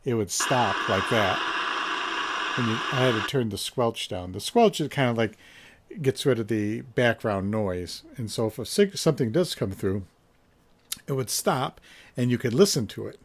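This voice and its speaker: A man's voice: medium pitch, expressive, normal speed. A man giving a casual hands-on demonstration, musing and thinking aloud as he goes. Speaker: reseller reviewing a vintage find. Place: indoors, in a basement. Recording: faint background noise.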